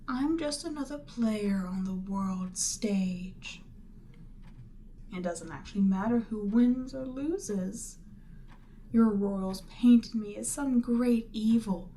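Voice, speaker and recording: A woman voicing a seductive, imperious demon queen in an audio roleplay, speaking deliberately and theatrically, with breathy near-whispered phrases. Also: mid-range voice, warm tone, slight background noise, lightly acted. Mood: coolly dismissive. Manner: slow, deliberate, theatrical, persuasive. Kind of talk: roleplay audio drama, ASMR monologue.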